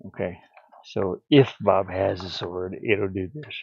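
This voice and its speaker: A man thinking aloud in a halting mutter as he gives casual commentary on his hobby game development. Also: low voice, gravelly, a little mellow, husky, dry recording. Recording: dull lo-fi audio, faint music. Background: faint music.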